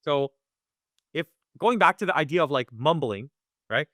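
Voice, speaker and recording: A man teaching Quran recitation in a webinar, talking conversationally, often checking in with "right?" and sounding out recitation slowly. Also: on the high side, lively intonation, fairly bright tone, no echo, clean studio sound. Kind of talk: live webinar lesson.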